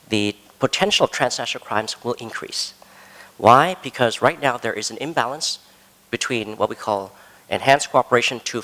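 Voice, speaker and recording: A man speaks on a conference panel in a large hall, and his words ring with a long reverb. His voice sits at a middle pitch. He talks in a measured, earnest way and leans hard on key words. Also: a bit bright.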